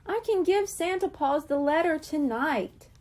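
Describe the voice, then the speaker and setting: A woman's voice: high voice, expressive, a little slow, darkish tone, voice pushed up. A woman voicing an earnest young mermaid kitten girl who pleads and hurries eagerly. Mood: apologetic.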